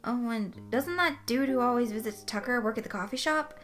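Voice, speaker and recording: A woman's voice: a little high, brisk, a bit bright, voice pushed up, close to an everyday voice. A woman voicing a friendly, helpful young male salesman in an audiobook, casual and rambling. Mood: encouraging. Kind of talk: audiobook character voice.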